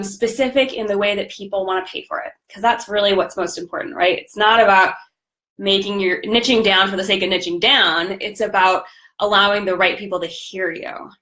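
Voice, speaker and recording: A woman with a slightly raspy voice from a recent cold, hosting a live coaching stream in an upbeat, chatty way. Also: medium pitch, a bit bright. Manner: upbeat, chatty, greets viewers by name. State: recovering from a cold. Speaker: podcast host and business coach.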